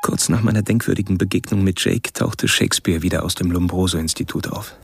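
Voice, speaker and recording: Deep, gravelly killer narrator, introspective. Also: male voice, steady tone, fairly quick. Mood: wry, detached. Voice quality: low, gravelly voice. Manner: introspective, precise, literary. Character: cold, articulate male serial killer narrating his stalking. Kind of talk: radio play first-person narration. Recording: music under the voice.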